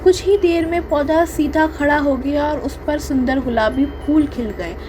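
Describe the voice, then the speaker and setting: High-voiced woman narrating a children's story. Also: unhurried, background noise.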